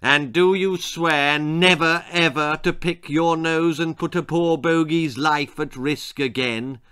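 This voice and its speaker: A man voicing a smug, squeaky little bogeyman creature who lectures insistently in a children's storybook. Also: steady tone, slow pace, sharp tone, clear, resonant tone, no room sound. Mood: scolding, smug.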